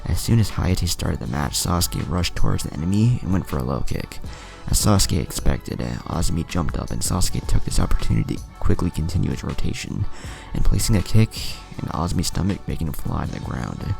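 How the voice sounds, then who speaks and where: A man's voice: deep voice, lively intonation, fairly quick, vocal fry, music in the background. A man narrating anime fan fiction as a storyteller.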